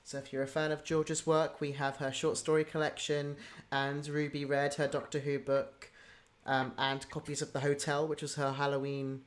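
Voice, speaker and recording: A livestream host with a mid-pitched voice talks in a casual, chatty way. The delivery is informal and enthusiastic, like someone addressing an online community. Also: level tone, moderate pace, few pauses.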